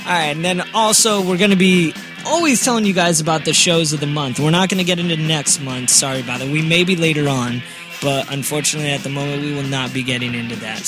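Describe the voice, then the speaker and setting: A man in his twenties hosting a podcast in a slangy, joking, casual chat style. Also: a little high. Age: 20s. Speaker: podcast host.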